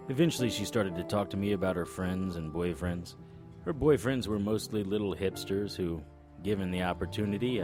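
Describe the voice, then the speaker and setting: An actor playing a serious, plain-spoken 1930s private detective in a noir audio drama. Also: male voice, sits low. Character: earnest, dutiful 1930s private detective, a man. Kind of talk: noir audio drama acting and first-person narration. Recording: music behind the voice.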